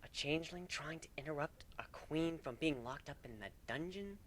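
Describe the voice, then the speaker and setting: A man voicing a sly, playful female changeling pony in a hushed murmur, in a dry dramatic fan fiction reading. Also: fairly high pitch, expressive, normal speed, no room sound, voice pushed up. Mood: uncertain, gentle.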